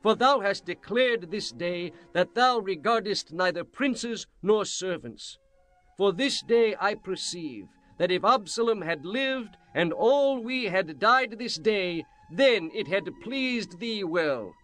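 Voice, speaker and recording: Slow, high-voiced indignant army commander, acted by a man. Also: animated, fairly bright tone, faint music behind, exaggerated voice. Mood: stern, indignant. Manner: slow, declamatory archaic speech. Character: blunt, indignant military commander rebuking his king.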